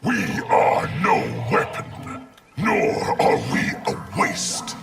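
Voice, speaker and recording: A man playing a grave video game construct made of many souls, speaking in slow, solemn, gravelly declarations. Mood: solemn, resolute. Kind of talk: video game character dialogue. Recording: loud music under the voice.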